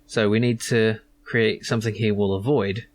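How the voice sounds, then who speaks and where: A man's voice: lowish voice, level tone, moderate pace. A man giving casual commentary on a game he is playing, musing and a little hesitant as he goes. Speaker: gaming video host.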